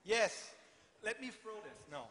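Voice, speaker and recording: A man with a bright, forceful, slightly high voice moderates questions at a conference, talking in an animated, chatty way. His voice carries a long reverb from the hall. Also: unhurried. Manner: animated, chatty, laughs, uses "like" a lot. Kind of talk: live Q&A moderation.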